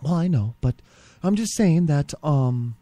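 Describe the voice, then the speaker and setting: A man's voice: on the high side, expressive, warm tone, no echo. A man voicing a chipper, nosy co-host who keeps pushing for gossip. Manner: rapid, prying, innocent-sounding.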